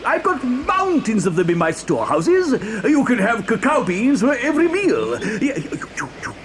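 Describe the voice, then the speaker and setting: A man's voice: very high voice, huge pitch swings, normal speed, crowd noise, heavily acted. A man playing an eccentric, gleeful chocolate factory owner in an audio dramatisation, effusive, stammering and theatrical. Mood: eager storytelling.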